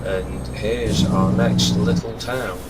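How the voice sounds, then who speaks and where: A man with a congested voice and a sore throat, giving easygoing, laid-back commentary while he plays a game. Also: on the low side. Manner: unhurried, easygoing, appreciative. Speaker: gaming channel host. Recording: steady truck and air-conditioning hum behind. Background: game engine and cab noise.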